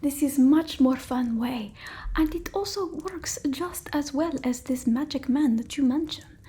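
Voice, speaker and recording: Casting: theatrical old vampire mistress for ASMR roleplay, soft mellow whispery delivery, unhurried and scornful of humans. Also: female voice, high voice. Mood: nostalgic, indignant. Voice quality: breathy, often whispering. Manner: broken old-world English, dramatic and haughty.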